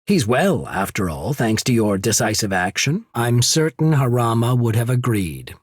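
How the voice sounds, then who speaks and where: An actor playing a cool, shrewd, courteous military official in a video game, speaking in a measured, formal, husky way. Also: male voice, mid-range voice, very animated, no room sound, clean studio sound. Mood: businesslike, courteous. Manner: measured, formal, subtly calculating. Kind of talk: scripted game character dialogue.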